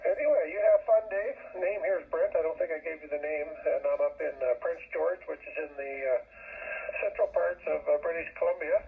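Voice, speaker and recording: A man's voice: fairly high pitch, fairly quick, creaky. A man chatting casually and appreciatively as an amateur operator, heard through the speaker of a two-way shortwave radio. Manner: informal, appreciative. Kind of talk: casual ham radio chat. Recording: narrow tinny shortwave radio transmission.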